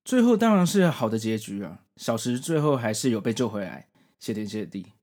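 A man's voice: fairly low pitch, big pitch swings, fairly quick, a little mellow. A man in his forties with a Taiwanese Mandarin accent, hosting a podcast and reviewing manga in conversational narration. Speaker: podcast host.

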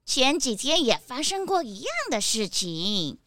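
A woman voicing a flustered elderly hen granny shopkeeper in a children's audio drama, clucking and fretting with drawn-out exclamations. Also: a little high, sing-song, heavy background noise. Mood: fretful.

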